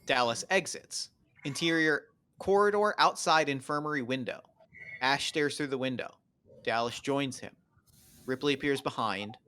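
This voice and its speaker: A man's voice: medium pitch, normal speed, bright, forceful tone, no room sound. A man reading stage directions as the narrator of a scripted table read.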